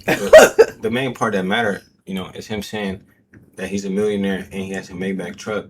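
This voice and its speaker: A man's voice: deep voice, very animated, normal speed, slightly rough, rough recording. A man hosting a reaction show, talking loosely in slangy casual commentary full of fillers. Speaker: host.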